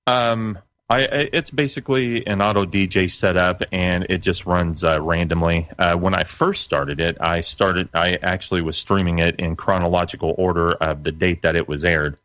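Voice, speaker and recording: A middle-aged man as an interview guest, casually recalling his work in a halting, self-correcting way. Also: low-pitched, fairly even intonation, fairly bright tone, smooth, dry recording. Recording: dull lo-fi dry sound.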